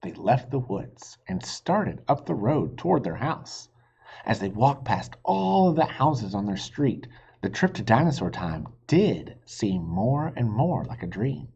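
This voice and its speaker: A man reading a children's chapter book aloud, talking gently to young listeners between passages, on a dull lo-fi recording. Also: medium pitch, sing-song, a little slow, creaky, breathy edge. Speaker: reader for children.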